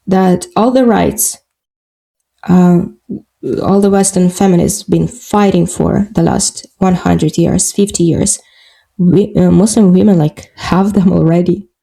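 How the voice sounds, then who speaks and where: Slow, gravelly woman with Russian accent. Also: on the low side, fairly even intonation, dark tone, rough recording. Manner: halting, searching for English words, many fillers. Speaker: podcast guest. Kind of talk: casual interview talk.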